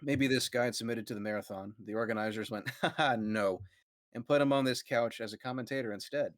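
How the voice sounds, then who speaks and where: A man's voice: mid-range voice, steady tone, fast talker, dry recording, clean studio sound. A man reading a fan fiction aloud on a stream with friends in a conversational way, breaking for amused asides.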